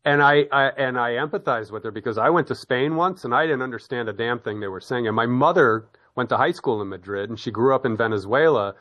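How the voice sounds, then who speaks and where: A man's voice: mid-range voice, a little fast, few pauses, lo-fi sound. A middle-aged man hosting a casual conversation, rambling with repeated words and restarts, laughing easily. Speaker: podcast host. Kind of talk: casual chat.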